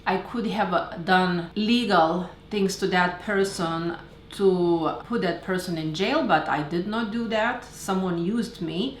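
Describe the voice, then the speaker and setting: A woman in her thirties speaks with a Lithuanian accent in a fairly low voice. She tells a personal story straight to viewers, earnest and leaning on key words. The room is clearly echoey, with faint noise behind her. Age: 30s. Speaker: skincare founder and vlogger.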